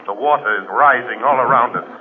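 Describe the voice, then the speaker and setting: A man's voice: mid-range voice, a little fast, close to an everyday voice. Guy acting a grave mysterious radio hero, solemn and commanding, old crackly narrow-band recording. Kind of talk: 1940s radio drama acting.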